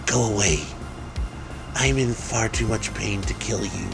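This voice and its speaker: A man's voice: fairly low pitch, unhurried, vocal fry, over music, pitched above the performer's own voice. A man voicing an ancient demon seductress in a story, blunt, irritable and matter-of-fact with a sardonic grumble. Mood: hungover, irritable.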